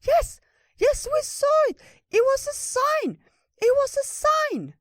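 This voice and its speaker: A tiny storybook creature, extremely high and squeaky. It is eager and anxious, repeating itself with excitable energy in a dry recording. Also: slow, no echo, full character voice. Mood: excited.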